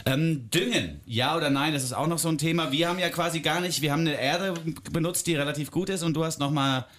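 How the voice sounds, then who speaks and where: Brief: male host, relaxed, joking, casual chat. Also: a little high, quick pace, a little hiss or hum. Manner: relaxed, joking, easy laugher. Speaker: podcast host.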